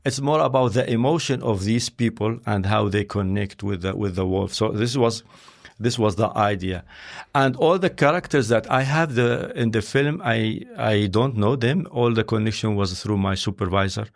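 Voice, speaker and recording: A man who is a scientist and filmmaker, speaking English as a second language in a halting way, often pausing to search for words. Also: fairly low pitch, gravelly. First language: not English. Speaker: interview guest, scientist and filmmaker. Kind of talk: conversational interview.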